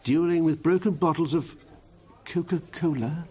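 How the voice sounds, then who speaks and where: An actor playing a glum, fussy, snobbish English barrister in a radio drama, plaintive and prim. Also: male voice, a little high, moderate pace, darkish tone, natural voice.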